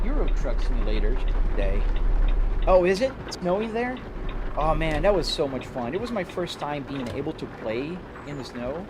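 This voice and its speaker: A man's voice: fairly high pitch, heavy background noise. Chatty Brazilian man streaming a drive. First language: Portuguese. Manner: chatty, greets viewers by name, laughs easily. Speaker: live streamer. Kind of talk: casual live stream chat while driving a sim. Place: home sim rig.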